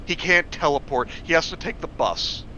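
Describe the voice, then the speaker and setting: Muffled lo-fi guy with a sharp bright voice, joking around on a podcast, faint noise behind. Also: a little high, expressive, moderate pace. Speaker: podcast co-host. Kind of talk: casual comedic banter.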